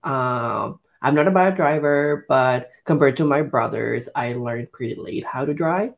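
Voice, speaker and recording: A young man co-hosts a podcast and talks casually in a gravelly voice with plenty of fry. He hesitates often and fills the gaps with "um" and "like" while he thinks aloud. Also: medium pitch, measured pace, lo-fi sound. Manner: hesitant, many "um" and "like" fillers. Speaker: podcast co-host. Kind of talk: casual chat.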